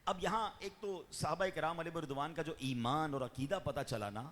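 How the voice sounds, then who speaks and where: A man preaching a sermon, fervent, with raised peaks and slow drawn-out chanting. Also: a little high, fairly bright tone, slight background noise. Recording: long hall reverb.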